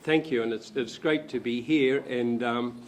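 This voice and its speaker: A hoarse, croaky-voiced man, an academic speaking on a panel at a university event, hesitating often with ums and restarts. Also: mid-range voice, steady tone, measured pace, a little hiss or hum. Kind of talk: public lecture talk. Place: university lecture room.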